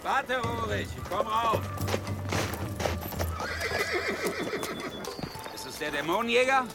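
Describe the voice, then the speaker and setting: A man's voice: very high-pitched, expressive, a little fast, creaky, loud music behind the voice, full character voice. A man playing a coarse, mocking medieval crossbow guard in a radio drama, sneering and vulgar. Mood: gruff menace. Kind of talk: German radio drama acting.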